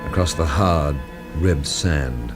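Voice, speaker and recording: An actor playing a weary, sardonic amateur investigator in a radio mystery, narrating and questioning in a dry, wry way. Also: male voice, rumbling low, slow pace, over music. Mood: brooding, bleak. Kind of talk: radio mystery drama acting and noir narration.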